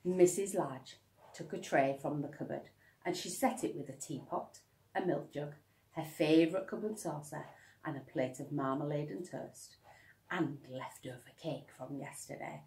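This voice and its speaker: A woman's voice: low-pitched, normal speed, mellow. A woman narrating a children's storybook like a teacher reading aloud. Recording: echoey room, slightly distant microphone.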